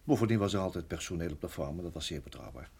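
An actor in a radio play voicing a reflective, middle-aged married farmer who reminisces in a measured, halting way. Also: male voice, deep voice, expressive, vocal fry, darkish tone, breathy edge, exaggerated voice. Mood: sombre, halting. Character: weary, reflective married farmer recalling his years in Rhodesia.

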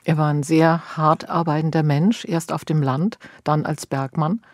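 A woman conducts an interview in a measured, prepared manner. Her voice is mellow and dark in tone, recorded dry and close, as she sums up and then asks. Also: sits low, fairly even intonation, no echo.

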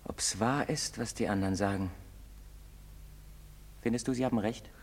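An actor playing an anxious, brooding young outcast in love in a stage drama, speaking haltingly. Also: male voice, on the low side. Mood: tender, pensive. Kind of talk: stage-drama acting in German. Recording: very reverberant hall sound.

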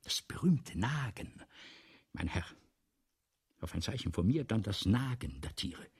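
Pompous excitable playwright, very hoarse breathy voice, huge swoops in pitch, radio drama, insistent. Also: male voice, a little slow, heavily acted. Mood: rapturous, grandiose. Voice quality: husky, breathy, lapsing into whispers. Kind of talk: 1970s German radio play acting.

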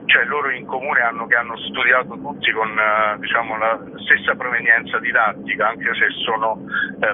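A man speaks as a radio guest about his theatre work, sounding friendly and loose, with filler words along the way. His voice sits mid-range. It is heard over a narrow phone line with a faint hiss behind it. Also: mid-range voice. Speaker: guest author and director. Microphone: telephone.

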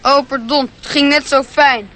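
An earnest little boy in a radio play. The voice is high and childlike, curious and trusting. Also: fairly even intonation, moderate pace, sharp tone, a little hiss or hum. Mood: wondering. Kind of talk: radio drama acting.

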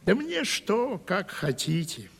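An actor in a 1970s Russian radio play as a sly, gossipy manservant, teasing and insinuating in a folksy way. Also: male voice, sits high, huge pitch swings, takes it slowly, gravelly, fairly bright tone, breathy edge, big-room sound, full character voice. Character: sly, gossipy, truth-telling male manservant, needling and folksy.

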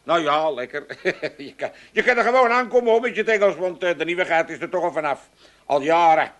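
An actor playing a talkative, boastful older shopkeeper with an Amsterdam accent in a radio play, rambling on in chattering sales patter. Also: male voice, a little high, brisk, a bit bright, natural voice. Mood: chatty salesman. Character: talkative, boastful old male shopkeeper, a bit tipsy.

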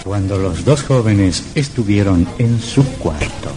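A man's voice: lowish voice, expressive, a little slow, loud music behind the voice. A man narrating a scripted old radio horror drama in a dramatic, suspenseful manner.

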